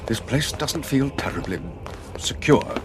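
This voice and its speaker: An actor playing a wary, uneasy official envoy in a science fiction audio drama, formal and hesitant in manner. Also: male voice, mid-range voice, lively intonation, unhurried, background noise, natural voice.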